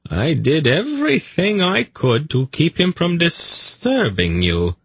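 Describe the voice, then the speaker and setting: A man's voice: on the low side, steady tone, very slow, dry recording, pitched above the performer's own voice. A man voicing a nervous, fawning snake keeper in an audiobook, pleading, apologetic and coaxing in manner. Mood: soothing, gently scolding. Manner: wheedling, apologetic, deferential.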